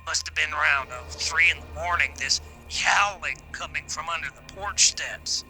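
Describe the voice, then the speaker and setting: A man's voice: fairly high pitch, normal speed, bright, forceful tone, soft background music. An actor playing a jumpy old rural man, telling an uneasy tale in a rustic drawl in a radio drama.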